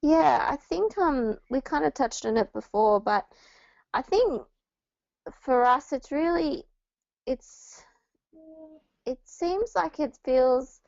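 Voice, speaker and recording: Woman, higher-pitched with noticeable vocal fry, hesitant reflective discussion, dry and slightly dull recording. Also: a little mellow, dry recording. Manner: tentative, searching for words. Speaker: church ministry co-presenter.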